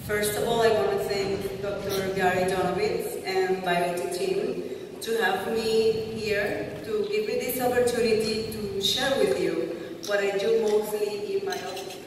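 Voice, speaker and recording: A woman physician in her forties from Puerto Rico, a native Spanish speaker with a Puerto Rican accent, giving a conference lecture in quick, informal English with non-native phrasing. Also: mid-range voice, fairly even intonation, background noise. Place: large conference hall. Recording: echoey hall from far off.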